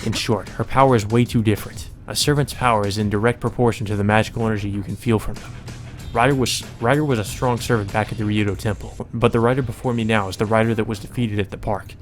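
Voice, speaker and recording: A man's voice: lowish voice, steady tone, fast, soft background music. A man reading visual novel narration aloud as a let's play narrator, in a dramatic storytelling manner.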